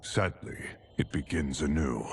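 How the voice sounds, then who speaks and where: Deep, grave guardian god. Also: male voice, a little slow, a little hiss or hum. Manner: solemn, formal. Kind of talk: cinematic video game acting.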